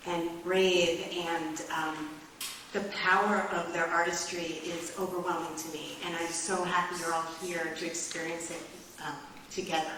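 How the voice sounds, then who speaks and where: A woman hosting as artistic director, giving an informal, earnest and somewhat halting welcome speech to a live concert audience. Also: on the low side, fairly bright tone. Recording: very echoey hall, distant mic, faint noise.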